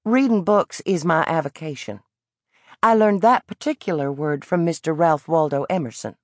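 A woman's voice: low-pitched, moderate pace, dry recording, studio quality. A woman voicing a defiant, bookish young boy with a Southern accent and a blunt, stubborn way of talking. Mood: earnest.

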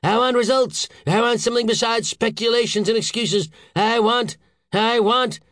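A man's voice: sits high, animated, fast, no room sound, clean studio sound, voice pushed up. A man voicing a furious, blustering older government official in an audiobook, howling and hectoring with theatrical indignation. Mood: exasperated, indignant. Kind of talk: audiobook character voice.

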